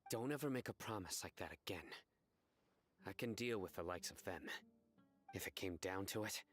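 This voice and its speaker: An actor voicing a stern, protective young male guardian in a video game, speaking gravely and clipped. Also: low-pitched, moderate pace, fairly bright tone, soft background music.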